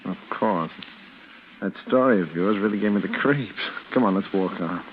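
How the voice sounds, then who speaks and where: An actor plays a curious, uneasy man with a low voice and a plain, casual manner. It is 1940s radio drama. The recording is tinny, with faint noise. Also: normal speed, lightly acted.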